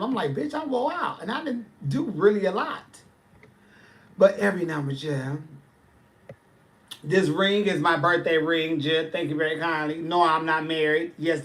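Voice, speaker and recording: A man's voice: fairly high pitch, fairly bright tone. A man, chatting casually on a livestream and answering viewers' comments with sass. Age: 40s. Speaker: livestream host.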